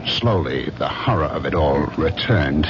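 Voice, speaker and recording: An actor in a radio drama playing a haunted man who coldly recounts his crime in slow, deliberate, clipped phrases. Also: extremely low pitch, lively intonation, very noisy. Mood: grim. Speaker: lead actor and narrator. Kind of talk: radio drama first-person narration. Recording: muffled old radio drama recording, music behind.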